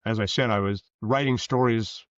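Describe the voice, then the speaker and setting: A man answering interview questions as a guest author, conversational, with rambling, halting explanations. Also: lowish voice, fairly even intonation, no echo. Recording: dull, lo-fi sound.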